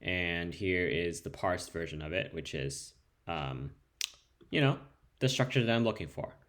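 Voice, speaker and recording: A man's voice: sits low, unhurried, fairly bright tone. A man with Spanish as his first language, casually walking through a coding demo with plenty of fillers and restarts. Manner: loose and conversational, many ums and restarts. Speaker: developer demoing code. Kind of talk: casual screen-share tutorial.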